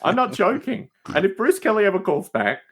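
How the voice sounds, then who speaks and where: A man's voice: sits high, big pitch swings, fairly quick, a little hiss or hum. A middle-aged man in casual conversation, telling stories in an emphatic, rambling way and often repeating words for stress. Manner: emphatic, repetitive, rambling storyteller. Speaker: podcast host appearing as guest. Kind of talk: casual chat.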